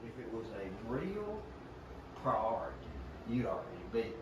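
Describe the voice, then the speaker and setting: A man's voice: fairly low pitch, very animated, moderate pace. Older man, Southern US accent, casual folksy storyteller, emphatic delivery, noisy and roomy pickup. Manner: folksy, emphatic, quotes past conversations. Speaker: guest telling hunting stories.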